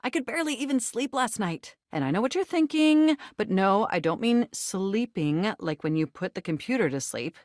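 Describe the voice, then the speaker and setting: A nerdy, flirtatious personal computer come to life, acted by a woman for a video game. Her mid-pitched voice rises and dips in a very lively way. She talks in precise, formal, technical phrases, eager and easily flustered, in a dry studio sound. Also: moderate pace, few pauses, no room sound, natural voice. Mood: excited. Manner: formal, wordy, technical vocabulary. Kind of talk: scripted video game voice acting.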